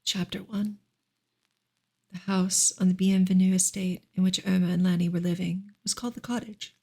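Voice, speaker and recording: A woman reading a novel softly in ASMR style, gentle and unhurried, often slipping into a whisper. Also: fairly low pitch, steady tone. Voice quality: soft, breathy, often dropping into a whisper. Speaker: ASMR reader and streamer.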